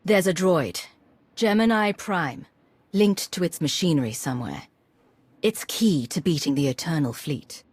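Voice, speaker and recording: A composed female agent for a video game. Her voice sits fairly low, and she keeps it cool, measured and to the point. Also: expressive, darkish tone, breathy edge, no echo, exaggerated voice. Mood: matter-of-fact. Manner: measured, businesslike.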